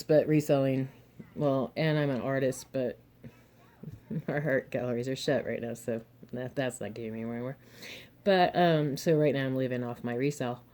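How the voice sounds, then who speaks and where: A young adult woman, a little hoarse and throaty, chatting frankly to her viewers with advice, laughing often and cursing mildly. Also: rumbling low, warm tone. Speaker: vlogger, single mother and reseller. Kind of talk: casual advice monologue to camera.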